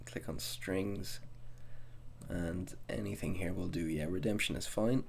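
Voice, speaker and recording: A man narrating a software tutorial as the instructor, explaining each step methodically. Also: low voice, a little slow, gravelly, slight background noise.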